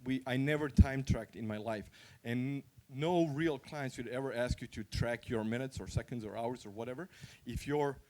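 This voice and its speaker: A man's voice: medium pitch, normal speed, hardly any pauses, darkish tone. A man from Croatia with a Croatian accent, speaking candidly and conversationally as he gives an informal, anecdotal conference talk.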